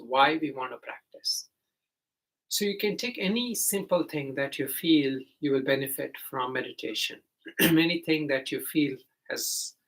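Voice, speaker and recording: A man teaching guided meditation, giving gentle, simple step-by-step instructions with hesitant pauses. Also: fairly high pitch, creaky, rough recording.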